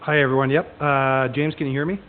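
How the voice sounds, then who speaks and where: A man with a mid-pitched voice co-hosts a webinar, talking casually and in a friendly way. His line is tinny and narrow, with some noise behind him. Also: flat delivery, fairly quick. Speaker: co-presenter taking questions. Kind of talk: casual live webinar talk. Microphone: phone or web conference call.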